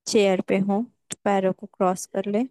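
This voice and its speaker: A woman guides a meditation in short, measured phrases. Her voice is mellow and stays very flat in pitch. The sound is dry, with no room around it. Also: no room sound.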